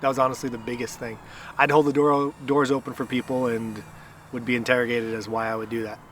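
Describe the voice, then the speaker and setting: A man from Guatemala answers casual questions with dry, self-deprecating humor and easy laughter. His voice is mid-pitched and sits a little off the mic. He is outdoors, with faint noise around him. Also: little pitch movement, fast, fairly bright tone, recorded from a distance. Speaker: guest. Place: outdoors at a park playground. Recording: voice a bit off-mic.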